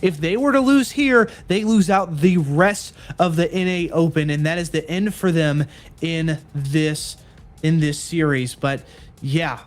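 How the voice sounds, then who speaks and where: Upbeat young-sounding male esports caster. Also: on the high side. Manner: earnest, repetitive hype talk with fillers. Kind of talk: live esports commentary.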